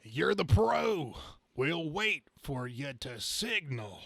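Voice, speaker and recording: A man's voice: a little high, sing-song, takes it slowly, vocal fry, fairly bright tone, no room sound, pitched above the performer's own voice, exaggerated voice. A man voicing a folksy, eager male trucker-style comic-book hero who talks in CB-radio slang. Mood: eager, cocky. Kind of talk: dramatic comic-book reading.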